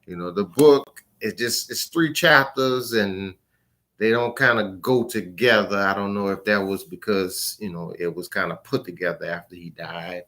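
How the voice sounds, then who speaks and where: Low-voiced man giving a casual book review. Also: normal speed, fairly bright tone.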